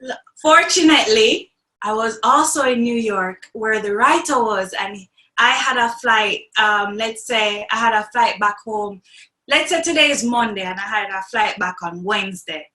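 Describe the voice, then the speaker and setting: A bright, fairly high voice from a young woman with a Jamaican accent. She talks animatedly and laughs often. The recording has a roomy echo. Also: moderate pace. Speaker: actress, guest. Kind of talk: interview conversation. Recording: echoey room.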